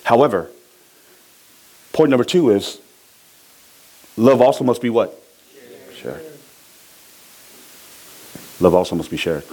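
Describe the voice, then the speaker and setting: A man's voice: on the low side, low-quality recording. A middle-aged man from Samoa, a pastor preaching a sermon, asking his congregation to finish his sentences. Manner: lively preaching, asks the congregation to fill in words.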